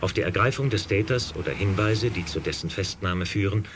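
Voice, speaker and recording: A sober male newsreader delivering a formal radio bulletin. His voice is very deep and measured, coming from a radio set with faint noise. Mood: sober, official. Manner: measured, official.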